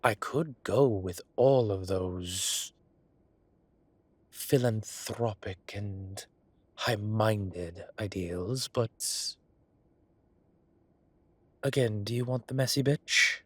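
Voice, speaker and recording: A man playing an ancient, teasing godlike being with a soft romantic streak, in a theatrical, drawling and indulgent manner for a roleplay audio drama. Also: on the low side, drawn-out words, long pauses, slightly rough, no room sound, exaggerated voice. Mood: gossipy, teasing.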